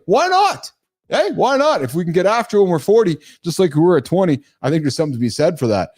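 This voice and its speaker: A middle-aged man hosting a live morning talk show, speaking casually and with animation, often addressing his listeners as guys. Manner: animated, calls listeners guys, jumps in pitch.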